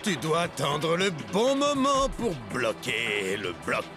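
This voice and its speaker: A scheming male cartoon villain, draws his words out extremely slowly with huge swoops in pitch. He gloats over a backing of music. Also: huge pitch swings, full character voice. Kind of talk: cartoon voice acting.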